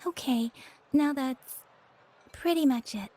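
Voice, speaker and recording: An actress playing a calm young woman in a game who explains things in a measured, unhurried way. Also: high voice. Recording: game dialogue with soft music.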